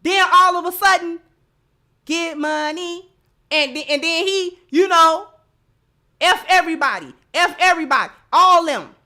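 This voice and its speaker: A woman's voice: very high-pitched, measured pace, pushed and bright. An American woman host giving opinionated commentary in informal, colloquial speech, emphatic and repeating phrases to drive a point home. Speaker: livestream host.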